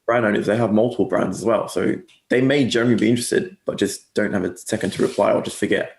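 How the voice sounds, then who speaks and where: A man's voice: on the low side, steady tone, quick pace, vocal fry, echoey room. A young man with a mixed English and Australian accent, chatting casually as a guest agency owner, rambling and correcting himself with lots of fillers. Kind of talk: casual interview chat.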